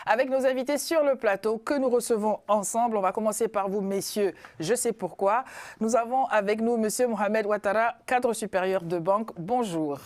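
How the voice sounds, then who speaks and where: A woman's voice: mid-range voice, normal speed. Formal woman TV show host. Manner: warm formal presenter, theatrical introductions. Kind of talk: TV talk show hosting.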